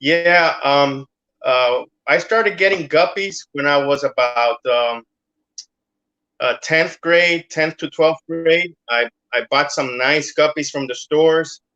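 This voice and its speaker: A man's voice: fairly high pitch, takes it slowly, bright, forceful tone, muffled recording. A middle-aged man from Cuba, a guest in a casual interview, talking hesitantly with many fillers.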